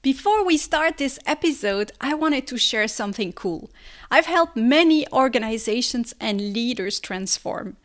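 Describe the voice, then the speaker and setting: High-pitched woman host, upbeat and encouraging, talks straight to her listeners. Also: animated, measured pace, faint music behind. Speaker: podcast host. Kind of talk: podcast interview and promo.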